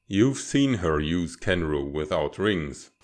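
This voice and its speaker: A man playing a stern, guarded serpent-dragon rebel leader and father in a comic fandub, speaking in a measured, formal, authoritative way. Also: low-pitched, sing-song, full character voice. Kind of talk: dramatic comic fandub acting.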